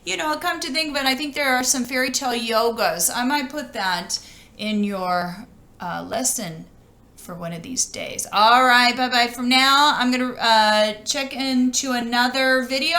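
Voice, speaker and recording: Casting: woman storybook reader, teacherly and expressive, highish bright voice, sing-song rhymes. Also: normal speed. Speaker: teacher reading aloud to children. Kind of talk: children's storybook read-aloud.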